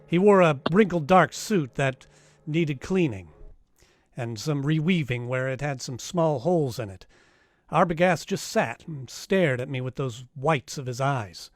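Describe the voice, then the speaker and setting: An actor playing a wry, hardboiled private detective in a noir radio drama, casual, clipped and wisecracking. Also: male voice, a little high, animated, a little slow, dry recording, clean studio sound. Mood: wry narration.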